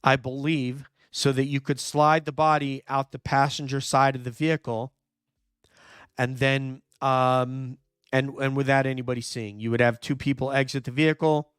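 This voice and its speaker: A hoarse man, nearly losing his voice, hosting a true crime livestream, talking emphatically and answering viewer chat. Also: medium pitch, flat delivery, moderate pace, a bit bright, no room sound, studio quality. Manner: emphatic, repeats "no question", thinks aloud with fillers. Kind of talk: live commentary reading viewer chat.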